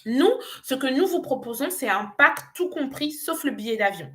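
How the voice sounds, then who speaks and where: Nigerian-born woman coach, slightly high voice, earnest sales pitch, hesitant pauses. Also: lively intonation, sharp tone. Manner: earnest, persuasive, with frequent hesitations. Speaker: business coach. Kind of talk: promotional pitch on a live show.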